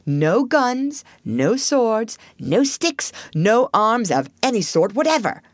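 Stern older male badger, voiced by a woman, storybook character, dry, commanding, deliberate, varied intonation. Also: fairly high pitch, very animated, dry recording, voice pushed up, exaggerated voice. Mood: plotting, assured.

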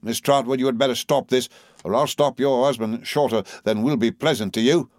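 A man's voice: medium pitch, steady tone, fairly quick, no room sound, clean studio sound, voice pushed up, natural voice. A man voicing a spiteful, scheming clerk in an audiobook, sneering and threatening with false familiarity.

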